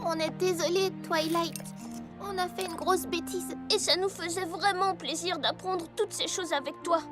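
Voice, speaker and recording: Un petit poney à voix très aiguë. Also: female voice, extremely high pitch, normal speed, music in the background. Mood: apologetic. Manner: childlike, excitable, expressive. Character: eager, boastful little pony schoolchildren. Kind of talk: French cartoon dub acting.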